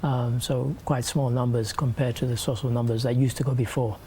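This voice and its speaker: A man's voice: medium pitch, level tone, brisk, dark tone, a little hiss or hum. A man hosting a studio news review, talking in a thoughtful, hesitant way with many fillers. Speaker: host. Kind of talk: studio discussion.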